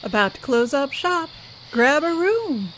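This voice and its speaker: Woman as a high-voiced squirrel innkeeper. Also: very animated, measured pace, background noise. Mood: breezy. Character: folksy, hospitable squirrel hotel keeper, briskly protective. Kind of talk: audio drama character acting.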